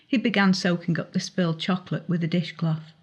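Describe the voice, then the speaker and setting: Husky woman narrating a novel. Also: lowish voice, fairly even intonation, brisk, highs cut off. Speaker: author reading own novel excerpt. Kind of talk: dramatic audiobook narration.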